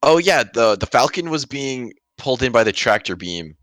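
A man's voice: mid-range voice, very animated, moderate pace, fairly bright tone, no room sound, studio quality. A man talking informally and jokingly as a podcast host in a casual group discussion. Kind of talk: casual group review chat.

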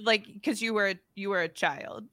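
A woman co-hosting an interview, upbeat and quick to laugh. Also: medium pitch, bright, forceful tone. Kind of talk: casual interview chat.

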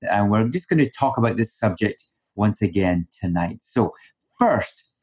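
A man's voice: on the low side, expressive, unhurried, a little mellow, slightly rough. A Canadian man, a physician hosting his podcast, asking plain, friendly questions in a conversational way. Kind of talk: podcast interviewing.

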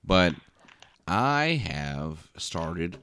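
A man's voice: low voice, big pitch swings, very slow, fairly bright tone, clean tone. Sleepy, rambling podcast guy. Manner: rambling, many restarts and repeated words. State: sleepy after little sleep. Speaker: podcast co-host. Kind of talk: casual podcast chat. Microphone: podcast mic.

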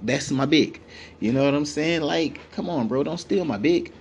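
A man with a naturally deep voice delivering a rambling, repetitive, profane comedic rant, full of fillers. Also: expressive, moderate pace, slight background noise.

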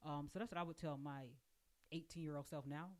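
A woman's voice: deep voice, fairly even intonation, brisk. A woman in her forties, a podcast guest, telling her story chattily with many fillers. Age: 40s. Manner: warm storytelling, many "you know" fillers. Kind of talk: casual group conversation.

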